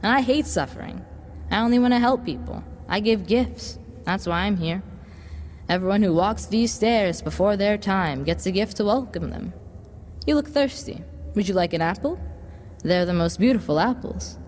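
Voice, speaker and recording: A woman's voice: medium pitch, moderate pace, a little mellow, natural voice. Woman playing coaxing devil boy in reverberant hall. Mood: sweetly tempting. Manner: coaxing, salesman-like. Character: smooth-tongued devil boy, tempting gift-giver. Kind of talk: audio drama acting. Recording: long reverb, background noise.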